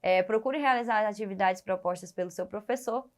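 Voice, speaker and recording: A Brazilian woman, a biology teacher, giving a recorded lesson in an explanatory way, often pausing on fillers and restarting phrases. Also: mid-range voice. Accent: Brazilian Portuguese.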